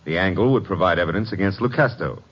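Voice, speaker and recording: A man's voice: deep voice, expressive, fast, breathy edge. An actor playing a cocky, wisecracking American legman in a radio detective drama, breezy, flippant and wry. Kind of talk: 1940s-50s radio detective acting. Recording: dull old radio recording.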